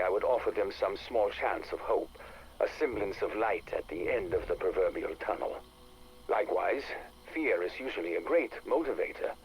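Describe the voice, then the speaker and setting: An actor playing a cold, ruthless interrogator who dictates a report for a game audio log in measured, formal phrases with deliberate pauses. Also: male voice, low voice, slight background noise, rough recording. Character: cold, self-assured interrogator, methodical and ruthless.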